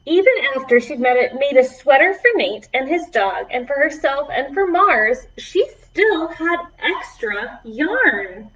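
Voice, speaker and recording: A woman's voice: high voice, sing-song, a little slow, few pauses, fairly bright tone, lo-fi sound. A woman children's librarian reading aloud to preschoolers, with encouraging asides to the little listeners. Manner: encouraging, addressing small children.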